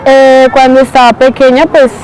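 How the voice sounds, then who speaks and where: A young woman recalling childhood experiences in a soft, reflective way. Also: high-pitched, little pitch movement, moderate pace, some chatter behind, rough recording. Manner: soft, reflective, mimics schoolmates. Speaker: interviewee. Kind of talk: personal testimony.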